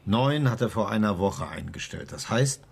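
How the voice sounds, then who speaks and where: An actor playing a brisk, matter-of-fact police investigator in a radio crime drama, dry and clipped. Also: male voice, lowish voice, lively intonation, creaky, husky, full character voice. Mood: businesslike. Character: brisk, businesslike male police investigator, dryly sure of himself.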